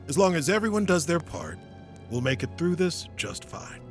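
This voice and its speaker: An actor playing a seasoned, burdened hero knight commander in an audio drama, speaking earnestly and with grave authority. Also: male voice, on the high side, sing-song, moderate pace. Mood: humble, burdened. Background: dramatic background music.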